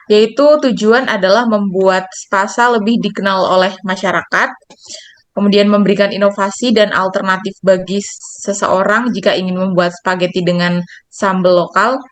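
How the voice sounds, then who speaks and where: A woman's voice: medium pitch, steady tone, moderate pace, dry recording. A young woman student presenting her group's product in class, reading slides and repeating her points. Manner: reads slides, repeats herself.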